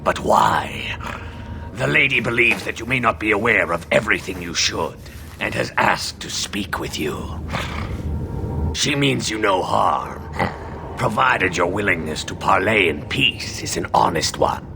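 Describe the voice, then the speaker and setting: A male actor playing a gruff, wary werewolf guardian with a deep, gravelly voice in a fantasy video game. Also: lively intonation, measured pace, noisy background. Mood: guarded, solemn.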